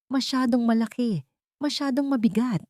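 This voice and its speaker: A woman voicing a shaken but resolute young mother in an audio romance story, emotional yet deliberate. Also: on the high side, darkish tone, no echo, studio quality, pitched above the performer's own voice, lightly acted. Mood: shaken, disbelieving.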